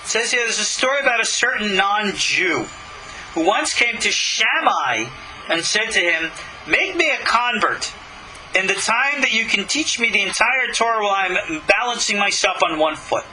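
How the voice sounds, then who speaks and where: A man's voice: high voice, pushed and bright, slight background noise, low-quality recording. A man giving a religious lecture as a rabbi, reading scripture and commenting in an emphatic, argumentative way with rhetorical questions. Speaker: rabbi teaching a class.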